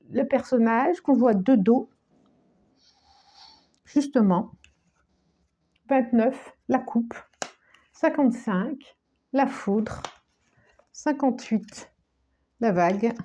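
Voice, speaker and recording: A woman doing a tarot reading, talking very slowly with a mellow, darkish tone. She has a cold, so her voice is a little stuffy, and her pitch sits somewhat high for a woman. She often repeats herself and trails off into near-whispers. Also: a little hiss or hum. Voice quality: slow, searching, many restarts and repetitions. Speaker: tarot card reader.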